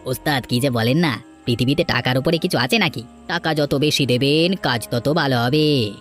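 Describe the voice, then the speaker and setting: A man voicing a sly, money-loving village henchman in an animated folk tale, obsequious and wheedling. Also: medium pitch, moderate pace, soft background music, natural voice. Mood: sly, fawning.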